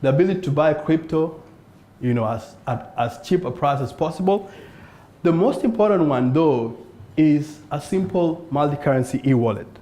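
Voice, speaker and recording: A man from South Sudan pitches his startup to a live audience. His voice is mellow and a bit dark. He speaks earnestly and lifts his pitch on rhetorical questions. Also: expressive, moderate pace. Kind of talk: conference pitch talk. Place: event room in front of an audience.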